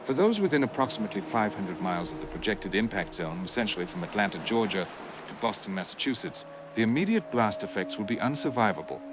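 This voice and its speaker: Male actor as grave expert scientist on disaster newscast: low, rapid, formal delivery over noisy outdoor ambience. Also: big pitch swings. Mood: grave, urgent. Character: grave male scientist explaining a catastrophic asteroid miscalculation. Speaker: expert interviewee on a TV news broadcast. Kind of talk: formal scripted news interview, technical explanation.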